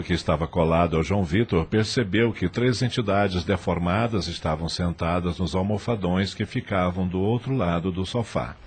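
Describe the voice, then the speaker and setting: A man's voice: sits low, breathy edge. A man reading scripted narration for a dramatized audio novel.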